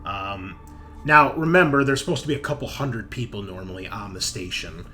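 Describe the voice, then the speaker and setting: A man with a low voice narrates a tabletop game as its game master. He talks casually and haltingly, improvising as he works through details. Background noise is clearly audible behind him. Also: pushed and bright. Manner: halting, thinking aloud as map details are checked.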